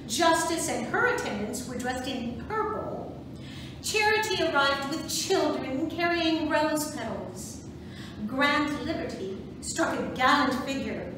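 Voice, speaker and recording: A proud suffragist organizer played by an amateur woman reader declaims in a high, lively voice at a measured pace. It is a stage reading captured from far off in a very echoey room. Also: noisy background. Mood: storytelling. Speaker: volunteer reader in a museum reading play. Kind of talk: amateur reader's theatre monologue.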